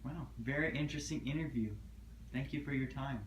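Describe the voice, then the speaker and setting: A man's voice: mid-range voice, a little fast. A man interviewing a relative, asking short prompting questions in an oral history interview. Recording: dull echoey room, faint noise.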